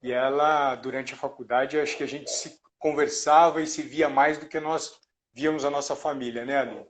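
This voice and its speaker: A man's voice: medium pitch, steady tone, moderate pace. A man, hosting a casual live chat in a friendly, chatty way. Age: 40s. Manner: friendly, chatty, laughs easily. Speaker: host.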